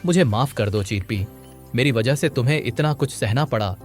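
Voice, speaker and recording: A voice actor playing a caring, apologetic father sparrow in a cartoon, talking gently. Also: mid-range voice, moderate pace, faint music behind, lightly acted. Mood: concerned.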